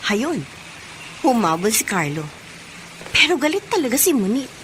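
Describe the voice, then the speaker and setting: A woman's voice: fairly high pitch, sing-song, normal speed. An actress playing a sly, meddling young woman in a Filipino radio drama. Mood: gloating. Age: young adult. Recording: noticeable ambient noise behind voice.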